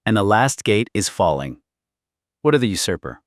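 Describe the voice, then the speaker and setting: Man reading as a cold young prince, low-voiced. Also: big pitch swings, moderate pace, no echo, clean studio sound. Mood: calculating. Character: cold, calculating young prince, a king in the making. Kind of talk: audiobook character dialogue.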